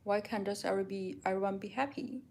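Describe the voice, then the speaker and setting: A woman's voice: mid-range voice, quick pace, creaky, a little mellow. A woman from China, a native Mandarin speaker with a Chinese accent, reading viewer questions aloud and answering them frankly in casual chat while doing dishes at home.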